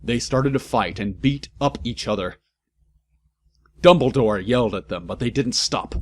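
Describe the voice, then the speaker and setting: A man narrates clumsy fan fiction in a slow, mock-serious delivery. His pitch sits in an ordinary middle range. He reads every mistake as written and drops in comic asides of his own. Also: slightly rough. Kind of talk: comic dramatic reading with asides.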